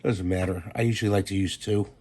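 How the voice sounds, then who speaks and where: A hoarse man with a very low voice talks through a do-it-yourself hack in plain, easygoing steps, like the host of a home repair channel. A faint hiss sits under his voice. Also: steady tone. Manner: plain, unhurried instructions with fillers. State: hoarse from a cold. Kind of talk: casual how-to demonstration.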